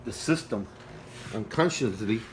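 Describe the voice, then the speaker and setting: A man with a non-native English accent, talking casually at home in a halting, repetitive way while filming an aquarium on his phone. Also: lowish voice, expressive, slight background noise. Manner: halting and repetitive, restarts phrases, earnest and pleading. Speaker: hobbyist vlogger. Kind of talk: casual home video walkthrough. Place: home, beside an aquarium indoors. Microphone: phone held by the speaker while filming.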